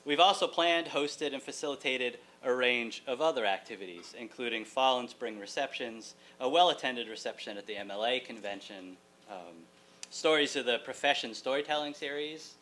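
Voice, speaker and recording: Bright-voiced man giving a keynote, faint hum. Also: medium pitch, normal speed. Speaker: keynote speaker, college writing teacher. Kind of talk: prepared conference address with joking asides. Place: lecture hall, at a podium. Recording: hum and room noise, distant camera.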